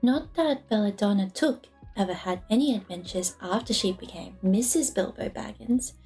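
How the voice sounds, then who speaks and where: A woman's voice: mid-range voice, moderate pace, creaky, warm tone. A woman reading a novel aloud as storybook narration. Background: lofi music.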